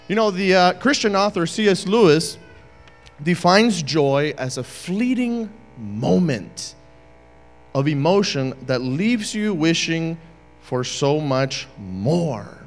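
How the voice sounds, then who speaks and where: A man's voice: a little high, big-room sound. A man from Uruguay preaching a sermon as a guest speaker, joking casually at first and then building to emphatic points. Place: church sanctuary.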